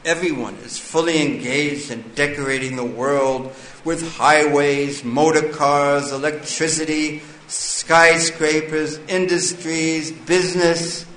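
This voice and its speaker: A man with a slightly high voice gives a scripture lecture at an unhurried, deliberate pace. He reads a line of text and then explains it to the listeners. The sound is echoey, with faint ambient noise behind him. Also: fairly even intonation, a bit bright. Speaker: scripture class teacher.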